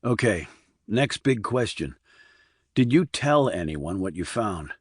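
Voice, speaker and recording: Man as a steady, probing investigator. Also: fairly low pitch, takes it slowly, slightly rough, no echo, studio quality, heavily acted. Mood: insistent. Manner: direct, probing, controlled. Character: steady, focused male ex-Army investigator questioning a witness.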